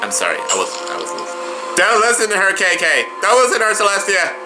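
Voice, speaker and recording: Animated man reacting out loud. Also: high voice, fast talker. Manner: animated, exclaiming, talks back to the show. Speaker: host of a reaction video. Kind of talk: live reaction commentary to an audio drama. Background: drama audio and music playing behind.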